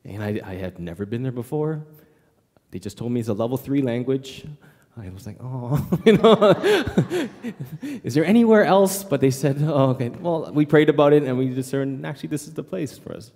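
A man missionary giving a church presentation, lively and joking with the audience. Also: medium pitch, moderate pace, long reverb tail. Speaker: missionary speaker.

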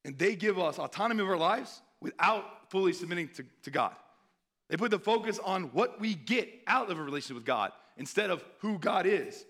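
A hoarse man preaching a sermon in an earnest tone, pausing to read scripture aloud. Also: sits high, expressive, fairly bright tone. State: hoarse, clearing throat.

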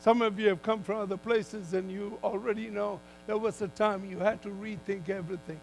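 An older man preaching a sermon as a guest speaker, in emphatic, deliberate phrases that he repeats for weight. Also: sits high, steady tone, gravelly, faint music behind, poor audio. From Malaysia.

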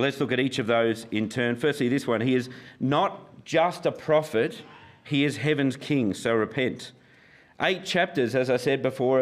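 Man, middle-aged, slightly croaky, senior minister, relaxed sermon with fillers. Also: mid-range voice. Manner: relaxed, self-deprecating, with fillers.

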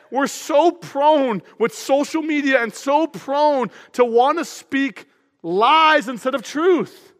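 A man preaching an energetic sermon as pastor, with a loud, emphatic delivery to a room full of people. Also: very high voice. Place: church auditorium. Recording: long hall reverb.

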